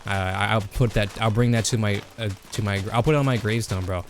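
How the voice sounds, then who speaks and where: A young man hosting a casual gaming chat, excitable, swearing and saying "bro" a lot. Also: lowish voice, quick pace, soft background music. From Kansas. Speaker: podcast host.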